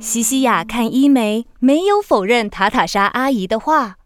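An actress playing a gentle storybook narrator, reading scripted narration for a children's audio drama. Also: female voice, fairly high pitch, lively intonation, normal speed, lightly acted. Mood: storytelling.

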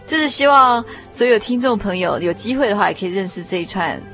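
Quick-talking female radio interviewer. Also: mid-range voice, lively intonation, fairly bright tone, faint music behind. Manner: attentive, frequent short affirming murmurs.

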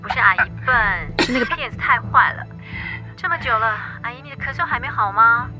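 An actress playing a caring young niece in a radio play, soothing and reassuring. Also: female voice, fairly high pitch, lively intonation, a little fast, sharp tone, faint music behind, rough recording.

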